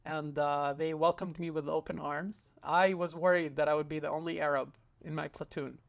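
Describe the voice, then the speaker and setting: A man's voice: fairly high pitch, steady tone, moderate pace, vocal fry. A young man from Israel, an interview guest telling a personal story in an earnest way, with frequent hesitant fillers and restarts.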